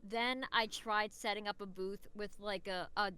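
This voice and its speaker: A woman's voice: medium pitch, fairly even intonation, measured pace, sharp tone, clear, resonant tone. A woman voice actor chatting casually as a guest in a podcast interview.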